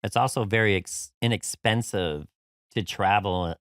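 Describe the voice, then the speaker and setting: A man's voice: on the low side, moderate pace, fairly bright tone, smooth, no room sound, studio quality. A man from New York hosting a podcast interview, talking in an upbeat, effusive way with many "you know"s. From New York City. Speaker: podcast host. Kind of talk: casual interview chat.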